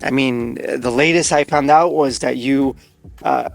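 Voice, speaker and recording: A man's voice: mid-range voice, unhurried, creaky, faint music behind. A man hosting a casual interview, talking in an effusive, informal way. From born in Argentina, raised in the US. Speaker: podcast host.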